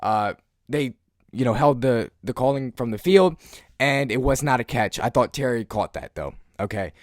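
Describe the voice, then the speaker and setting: A young man with a hoarse voice from screaming at a game, giving a casual post-game breakdown as a fan channel host, clearing his throat often and filling pauses with "um" and "uh.". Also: mid-range voice, animated, no echo, clean studio sound. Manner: frequent throat clearing, filler-heavy. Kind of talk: post-game analysis monologue.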